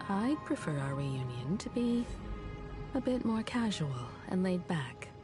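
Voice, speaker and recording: An elegant, composed game heroine with a low voice for a woman. She speaks calmly and with measured care, gently teasing, over game music. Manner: calm, measured. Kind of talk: anime-style game voice acting.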